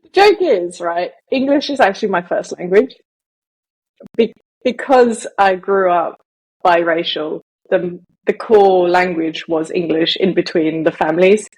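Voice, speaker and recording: A woman co-hosting a podcast, chatting casually in a measured, inquisitive way. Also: lowish voice, a little mellow, husky, rough recording. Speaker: podcast co-host.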